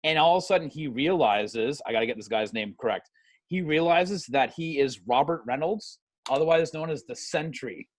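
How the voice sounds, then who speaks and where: A man on a podcast panel chatting casually and pitching ideas, explaining in a halting way and repeating phrases. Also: lively intonation.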